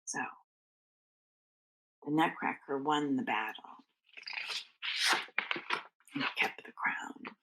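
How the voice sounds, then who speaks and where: A woman reading a children's story aloud like a teacher, expressively, with drawn-out phrasing and hushed asides. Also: low voice, a little hiss or hum, low-quality recording.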